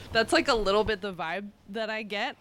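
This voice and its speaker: A woman hosting a podcast, asking questions in a casual, filler-heavy way with quick giggles. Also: medium pitch, a bit bright. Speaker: podcast host.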